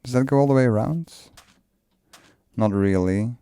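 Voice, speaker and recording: A man's voice: mid-range voice, moderate pace, warm tone, smooth, no room sound. A man whose first language is Dutch, casually commenting while he builds in a game, musing aloud and laughing often. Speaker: let's play creator.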